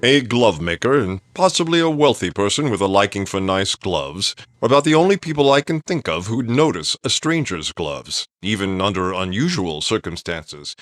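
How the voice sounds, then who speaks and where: A man's voice: on the low side, very animated, normal speed, few pauses, fairly bright tone, no echo, studio quality. A man reading a scripted, polished promotional announcement in 1950s network radio style.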